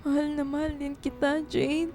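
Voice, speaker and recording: A woman playing a soft, loving young writer in grief in a radio drama. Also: sits high, level tone, moderate pace. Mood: sorrowful, loving. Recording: music behind the voice.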